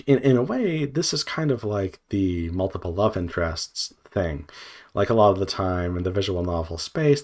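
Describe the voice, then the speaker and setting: A man talking off the cuff in a casual, scattered way, thinking aloud with frequent hesitations and fillers. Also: lowish voice. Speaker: video creator, indie visual novel developer. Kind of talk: unscripted casual vlog monologue.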